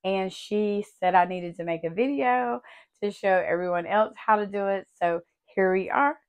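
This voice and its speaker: A woman hosting a craft tutorial, her voice still a little raspy as she recovers, talking in a friendly, chatty way and explaining each step. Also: mid-range voice, moderate pace. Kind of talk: casual tutorial walkthrough.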